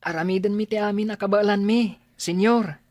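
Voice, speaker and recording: A woman's voice: medium pitch, steady tone, moderate pace, a little mellow, dry recording, studio quality, lightly acted. A woman playing a polite, cheerful young school pupil in an Ilocano radio drama, respectful and eager. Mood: respectful, glad.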